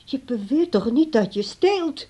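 A worried grown daughter in a radio drama, reproachful and pleading. Her voice is fairly high yet soft-edged and mellow. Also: lively intonation, measured pace, slightly rough, full character voice. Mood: distressed, pleading. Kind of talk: radio play acting.

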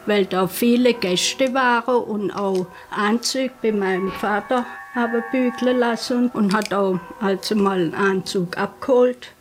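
A woman's voice: mid-range voice, a little slow, hardly any pauses, poor audio. A woman recalling her past in an interview, speaking informally. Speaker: interviewed witness. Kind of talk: spoken recollection in an interview.

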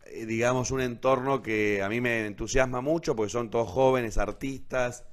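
An Argentine man hosting a live online show casually, in a rambling, halting way that often corrects itself. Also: mid-range voice, steady tone. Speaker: host of an online radio theatre show.

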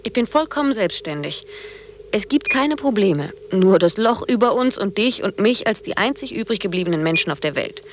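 A woman's voice: medium pitch, animated, moderate pace, slight background noise, lightly acted. An actress playing a cool, self-reliant younger woman in a German radio drama, dry, blunt and dismissive. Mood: defiant.

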